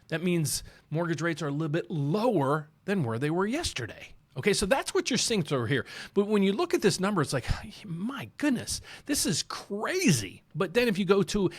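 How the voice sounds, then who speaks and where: A man with a Southern accent hosting a mortgage channel, talking casually through market charts and repeating himself as he goes. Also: on the high side. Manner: rambling, repeats words, talks through charts on screen.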